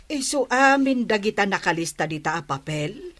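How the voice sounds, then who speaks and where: A woman's voice: mid-range voice, lively intonation, normal speed, a bit bright, a little hiss or hum, natural voice. A woman with an Ilocano accent playing an inquisitive, no-nonsense villager in a comedy radio drama.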